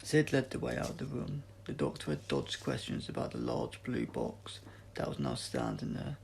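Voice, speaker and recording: A man's voice: a bass voice, wildly swooping pitch, moderate pace, gravelly, a little mellow, a little hiss or hum. A man reading a story aloud as an audiobook narrator, in an amateur style with occasional stumbles. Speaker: audiobook reader.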